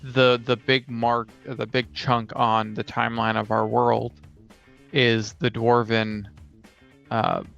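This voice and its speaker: Gravelly, even-toned man on a dull mic. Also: mid-range voice, slow pace. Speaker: co-host. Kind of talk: casual podcast chat about tabletop gaming. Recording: dull recording with music behind.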